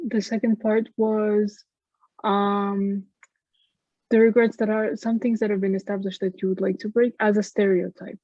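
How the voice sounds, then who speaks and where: A woman interviewer reads out questions in a plain, measured voice. Her voice is warm and mellow, and the sound is dry and slightly dull. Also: mid-range voice, flat delivery, dry recording. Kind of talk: reading out audience questions.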